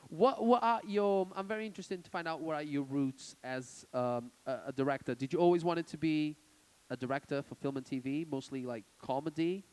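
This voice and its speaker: Halting male festival interviewer. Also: a little high, darkish tone, clear, resonant tone. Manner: halting, repeats words, laughs along. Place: on stage at a festival event.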